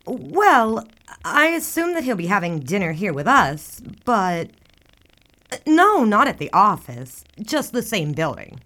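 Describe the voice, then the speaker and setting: A chatty 1920s newspaper office worker in a radio drama. She is polite and halting on the telephone, with a very lively, swooping intonation. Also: mid-range voice. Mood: friendly, slightly flustered. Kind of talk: radio drama phone conversation.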